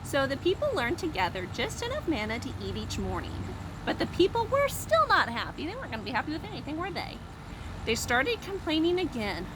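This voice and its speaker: A woman's voice: high voice, huge pitch swings, fairly quick, noisy background. A woman hosting a Bible story time for young children, explaining simply and expressively with questions and asides.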